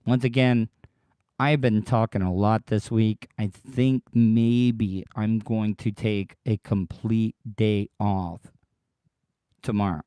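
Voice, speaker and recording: Man, podcast host, mellow dark voice, dry close sound, tired and deeper than usual, rambling chat to listeners. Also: steady tone, takes it slowly, clean tone, no echo. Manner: rambling, repeats himself, talks straight to listeners. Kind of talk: casual solo livestream monologue.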